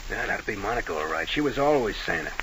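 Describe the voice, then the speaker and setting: An actor playing a sly nightclub manager under a gangster, needling with feigned innocence and dry sarcasm in an old-time radio drama. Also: male voice, fairly low pitch, sing-song, quick pace. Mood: coolly dismissive. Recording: hissy vintage radio recording.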